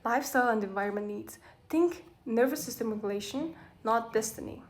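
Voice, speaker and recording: A woman's voice: a little high, a little fast. A young woman with a Mongolian accent, a native Mongolian speaker, casually reading aloud and commenting in a rambling, self-correcting way as a vlogger. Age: young adult.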